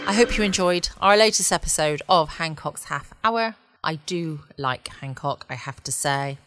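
Female bedtime podcast host, very low pitch, relaxed chat, music behind. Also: normal speed. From United Kingdom. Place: at home.

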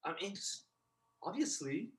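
A woman's voice: mid-range voice, sing-song, unhurried. A woman playing a brash, crude, man-hungry city friend in an improvised comedy sketch, blunt and bossy. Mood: brash, goading.

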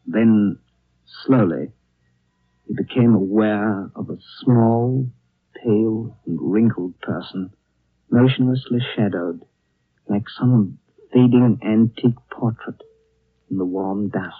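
An actor playing a grave, thoughtful narrator who tells a tale in deliberate phrases for a 1930s radio drama. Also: male voice, on the low side, slightly rough, dry recording. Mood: grave, hushed wonder. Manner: deliberate, atmospheric, pausing phrases. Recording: dry, narrow-band old radio broadcast.

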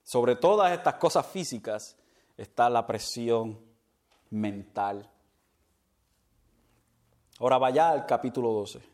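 Male pastor, lively sermon delivery, mixes in English, laughs off his own slips. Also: medium pitch, slow pace, long pauses. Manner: animated preaching, mixes in English words; stumbles over words and laughs at himself.

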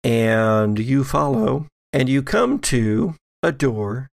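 Male game master for horror tabletop play: unhurried, gravelly, descriptive storyteller who pauses for suspense. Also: mid-range voice, animated, no echo, studio quality.

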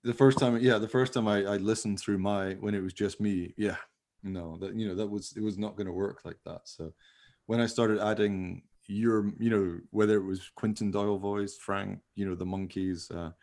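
Low-pitched man chatting casually. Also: steady tone, moderate pace, a little mellow. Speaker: podcast host and amateur radio play producer. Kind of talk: casual chat about making a radio play.

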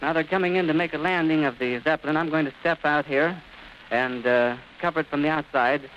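A man's voice: a little high, a little fast, muffled recording, slight background noise. An American man giving florid, descriptive live commentary as a 1930s radio reporter, on an old recording.

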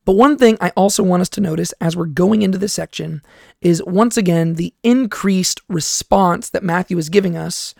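A tired, hoarse man hosting a Bible study podcast, speaking softly into a close microphone and often asking "right?". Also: sits high, moderate pace, dry recording. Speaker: podcast host and Bible teacher. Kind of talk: casual teaching talk.

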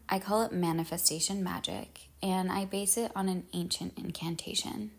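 A woman leading a guided meditation in measured, solemn phrases with pauses, her husky voice trailing into breathiness. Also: on the low side.

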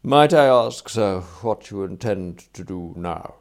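Give me a man playing an unflappable English valet from a comic storybook, formal and deferential, measured and courteous, in a lowish, slightly gravelly voice. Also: expressive. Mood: polite, inquiring. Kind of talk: acted audiobook dialogue.

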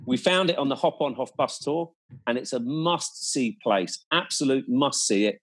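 A man's voice: mid-range voice, animated, normal speed, fairly bright tone, husky, low-quality recording. A man in a casual, conversational chat, recounting his experiences as a guest in an enthusiastic, descriptive and emphatic way.

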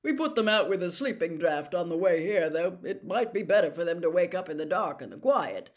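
A woman reading an audiobook voices a brisk young man who keeps dragons, firm and matter-of-fact. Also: on the low side, very animated.

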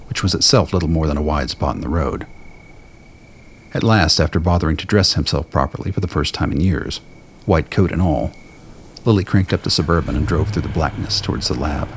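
A man's voice: deep voice, a little hiss or hum. A man reading scripted narration for a radio drama.

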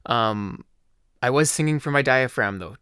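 A man hosting his own podcast, talking casually in a slightly hoarse voice, rambling with fillers. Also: mid-range voice, normal speed, sharp tone, dry recording, clean studio sound. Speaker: podcast host. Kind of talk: casual solo podcast talk.

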